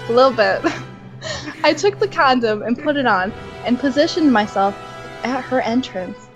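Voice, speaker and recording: A woman's voice: on the high side, expressive, measured pace, over music, low-quality recording. A young woman reading fan fiction aloud in a mock-dramatic way and adding sarcastic, giggly comments between passages.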